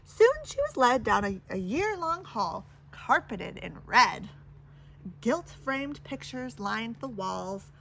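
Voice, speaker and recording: A woman's voice: high voice, fairly bright tone, slight background noise, poor audio. A woman reading a children's picture book aloud with theatrical, dramatic emphasis. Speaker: storybook reader.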